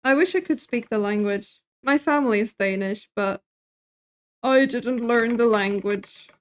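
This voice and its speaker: A young woman with a high, light voice chats with her viewers as she cooks at home. She, laughs easily, and answers chat messages as they come in. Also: unhurried, smooth. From Sweden. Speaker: streamer. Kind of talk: casual chat while cooking on stream.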